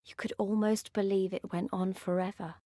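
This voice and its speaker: A woman's voice: medium pitch, level tone, dark tone, no room sound, lightly acted. Woman as a wistful game narrator, very dry. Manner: measured, reflective, storytelling. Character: wistful woman narrator recalling a far-off homeland sea. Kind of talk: video game cutscene narration.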